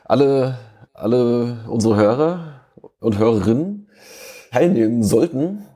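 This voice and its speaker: Chatty man with a dark, husky voice. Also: medium pitch, animated, unhurried. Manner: chatty, self-interrupting, joking. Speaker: podcast host. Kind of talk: casual fan podcast chat. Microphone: remote call.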